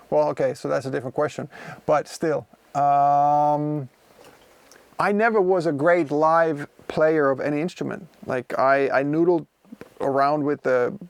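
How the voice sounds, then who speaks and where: A man's voice: mid-range voice, gravelly, no echo. A man in his forties from Finland, speaking English with a Finnish accent as his second language, chatting casually and rambling with many fillers while streaming from his music studio. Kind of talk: casual livestream chat, answering viewers.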